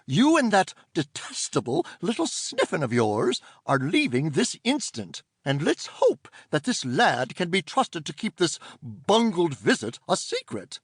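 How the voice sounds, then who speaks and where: A man's voice: high-pitched, huge pitch swings, unhurried, few pauses, slightly rough, no echo, clean studio sound, pitched above the performer's own voice, full character voice. A man voicing a stern, prim fairy aunt. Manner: scolding, prim and commanding.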